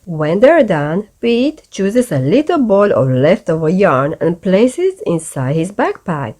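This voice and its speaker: A woman reading a children's picture book aloud as a bedtime story, with expressive, deliberate delivery for young listeners. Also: lowish voice.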